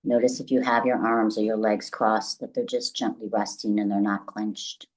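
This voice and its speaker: A woman leading a guided meditation as the guide, speaking gently and deliberately in a soothing, instructional way with long pauses. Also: very deep voice, flat delivery, low-quality recording.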